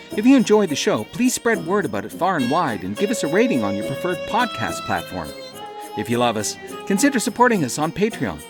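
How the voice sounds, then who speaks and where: A man reading production credits and listener appeals as a scripted announcement. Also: on the high side. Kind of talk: scripted narration.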